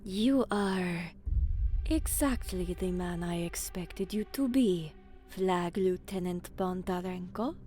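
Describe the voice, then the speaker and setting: Slow, guarded alien military commander played by a woman. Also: fairly low pitch, dark tone, soft background music. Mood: cool, wary. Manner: measured, terse, formal. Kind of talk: science fiction audio drama acting.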